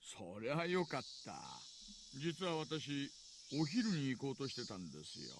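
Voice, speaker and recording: A genial older detective in a visual novel, ingratiating and sly. His mid-pitched voice moves along quickly, with clear insect noise behind it. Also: big pitch swings. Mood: genial, coaxing. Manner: polite, roundabout, ingratiating.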